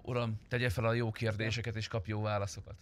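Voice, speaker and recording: A man's voice: on the low side, little pitch movement, a bit bright, natural voice. A man playing a cocky, evasive suspect in an improvised police roleplay game, arguing brashly. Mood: argumentative. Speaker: online roleplay game player.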